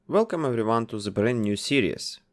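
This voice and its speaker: Male tutorial narrator, methodical and instructive, with a lively, varied pitch. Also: brisk. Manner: methodical, instructive, explains each step. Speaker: tutorial host. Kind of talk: step-by-step software tutorial narration.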